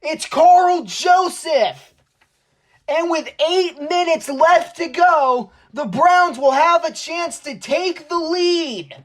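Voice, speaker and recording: A man's voice: extremely high pitch, slow. Young man calling football play-by-play. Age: young adult. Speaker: sportscaster, play-by-play announcer. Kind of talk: American football play-by-play commentary.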